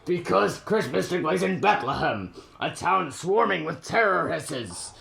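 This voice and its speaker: Someone voicing a creaky, snappish old miser cat. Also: medium pitch, animated, measured pace, a bit bright, exaggerated voice. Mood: scornful, irritated. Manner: snappish, scornful. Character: grumpy miserly old cat businessman, curt and scornful. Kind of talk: comic radio play acting.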